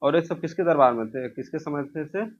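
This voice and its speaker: A man's voice: a little high, animated, fairly quick. A man teaching an informal exam review, asking questions and answering them himself, repeating key answers. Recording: dull, lo-fi sound.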